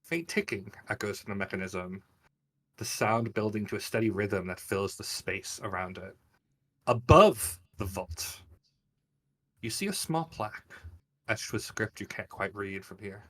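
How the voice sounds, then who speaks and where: A man's voice: lowish voice, unhurried, fairly bright tone, husky, far from the mic, rough recording. A man working as game master, telling a tabletop fantasy story in descriptive, dramatic detail. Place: live online game stream.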